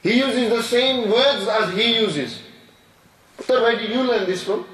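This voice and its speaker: A man's voice: very high voice, measured pace, a bit bright, distant mic. A man, an imam giving a sermon, preaching with repetition and rhetorical questions. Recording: echoey room from afar.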